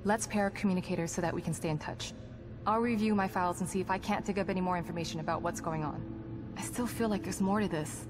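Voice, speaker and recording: An actress playing a composed, guarded psychologist in a video game, speaking in precise, formal clinical terms. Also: female voice, lowish voice, quick pace, background noise, close to an everyday voice. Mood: clinical, focused. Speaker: psychologist character in a horror game. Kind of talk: video game cutscene acting. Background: background music.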